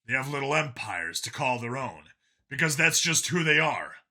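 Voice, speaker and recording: Man voicing an earnest, forceful, world-weary space cop. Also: mid-range voice, very animated, normal speed, heavily acted. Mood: grave, insistent. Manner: long, insistent, reasoning speeches.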